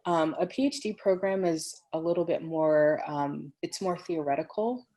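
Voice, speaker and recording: A woman answering questions in an online webinar, explaining at a measured pace with frequent ums. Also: low voice, dark tone. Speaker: program faculty answering questions. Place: home office on a video call. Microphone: computer microphone.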